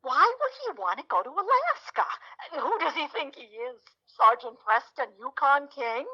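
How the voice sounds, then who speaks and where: An actress playing an older, brassy best friend in an audio comedy, sardonic, blunt and teasing. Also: female voice, high-pitched, very animated, normal speed, sharp tone, lo-fi sound, full character voice. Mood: mocking. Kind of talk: audio theatre comedy acting.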